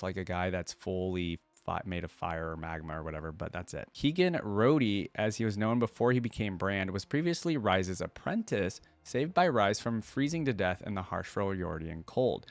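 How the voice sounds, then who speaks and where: A man's voice: fairly low pitch, no room sound. A man hosting a reaction video, reading text aloud and commenting on it in a casual, informal way. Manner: informal, addresses viewers as guys and dude.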